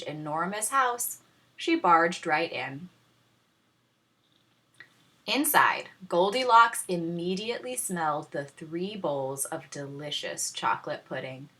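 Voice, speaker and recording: A woman teacher narrating a children's storybook in a dramatic, tongue-in-cheek way with pointed pauses. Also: on the low side, bright, forceful tone. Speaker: teacher reading aloud.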